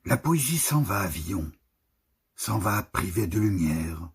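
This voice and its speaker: A man reads verse at a slow, measured pace. His voice is very husky and breathy, with a gravelly creak underneath. He sets each short phrase apart deliberately. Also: deep voice, very animated. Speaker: poetry reader. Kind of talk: poetry recitation.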